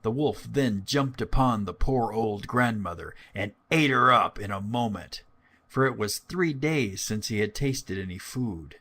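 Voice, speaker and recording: Expressive male fairy-tale storyteller, lively intonation. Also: on the low side, a little slow. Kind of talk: scripted fairy-tale narration.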